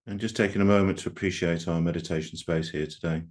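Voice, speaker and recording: A man leading a guided meditation as a group guide, speaking gently and unhurriedly with long pauses. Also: deep voice, fairly even intonation.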